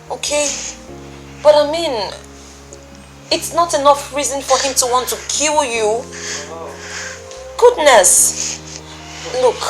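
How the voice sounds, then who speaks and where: A woman plays a relative who gently comforts a hurt young woman, then grows heated on her behalf. Her voice sits somewhat high, with clear music beneath it. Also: low-quality recording. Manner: soothing, earnest. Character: sympathetic sister comforting a beaten woman.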